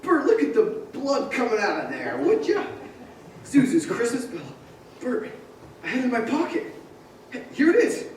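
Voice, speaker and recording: A man's voice: very high-pitched, wildly swooping pitch, moderate pace, long pauses, heavily acted. An actor playing an overjoyed, frantic family man in a live stage radio play, exclaiming breathlessly. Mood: frantic relief. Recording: roomy theater stage, distant mic, faint noise.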